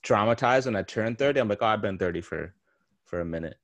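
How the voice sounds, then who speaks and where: A man's voice: fairly low pitch, expressive, fast, fairly bright tone. A man in his late twenties hosting a casual podcast chat, teasing his friend and swearing freely. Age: 20s. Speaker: podcast host.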